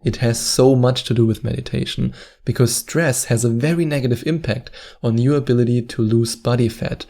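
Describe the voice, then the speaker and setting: German man with a mellow voice. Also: mid-range voice, fairly even intonation, moderate pace, gravelly. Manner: earnest, emphatic, repeats key points. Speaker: weight-loss video host. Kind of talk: direct-to-camera advice talk.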